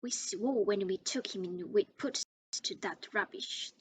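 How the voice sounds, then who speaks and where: A woman's voice: mid-range voice, unhurried, a little hiss or hum, natural voice. Woman doing a stern, stubborn uncle. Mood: angry. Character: angry stubborn middle-aged uncle.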